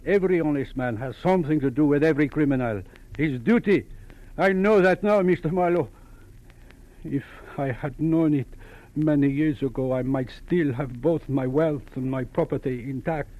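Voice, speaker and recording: An actor playing a proud, easily affronted gentleman in his sixties, formal and halting, in an old radio detective drama. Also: on the high side, close to an everyday voice. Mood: affronted pride. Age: 60s. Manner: formal, halting, punctuates speech with "sir". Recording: dull lo-fi old radio recording.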